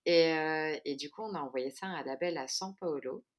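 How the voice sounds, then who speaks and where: A woman's voice: sits low, fairly quick. A woman in her thirties, a podcast guest talking casually and stopping often with fillers and restarts. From France. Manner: hesitant, many fillers and restarts. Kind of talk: casual chat.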